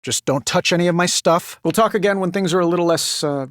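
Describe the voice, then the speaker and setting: An actor in a video game playing a cocky, quick-witted billionaire inventor superhero with a sardonic, glib, conversational delivery. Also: male voice, high-pitched, dry recording, studio quality, lightly acted. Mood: wry, flippant.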